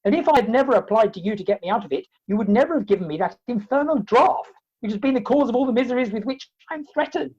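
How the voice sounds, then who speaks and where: A woman's voice: on the high side, expressive, fast talker, warm tone, breathy edge. A woman playing an indignant, exasperated comic hero in a Victorian play, wordy and emphatic, in lo-fi video-call audio.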